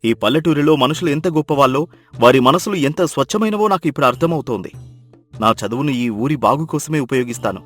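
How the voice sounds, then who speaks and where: A man's voice: on the high side, lively intonation, quick pace, soft background music. An actor playing an educated young city man, humbled and repentant, speaking earnestly and emphatically in a dramatized moral story. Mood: earnest, grateful.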